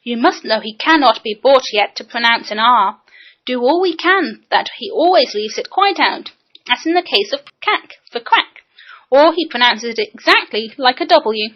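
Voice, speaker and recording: A fussy, fretful lady scolds and exclaims in a fairly high voice, as a woman reads her. The fretting comes through a dull, muffled, lo-fi recording. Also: lively intonation, moderate pace.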